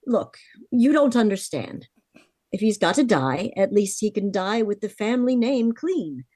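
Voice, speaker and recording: A woman's voice: medium pitch, expressive, unhurried, warm tone, dry recording, clean studio sound. A woman playing a determined, earnest sister in a melodramatic 1940s-style radio drama. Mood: pleading. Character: desperate, determined young sister pleading for her brother.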